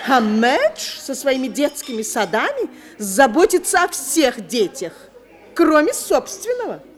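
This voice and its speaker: High-pitched fretting matron, lively and scolding, radio play. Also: female voice, a little slow. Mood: fussing. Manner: fussy, scolding, prattling. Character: fussy, chattering older society lady.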